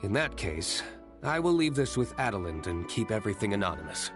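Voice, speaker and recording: A man's voice: fairly low pitch, big pitch swings, brisk, music in the background. An actor playing an aloof young male winery master in a video game, speaking in a curt, dry, reserved manner. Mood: dry, resigned. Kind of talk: video game character dialogue.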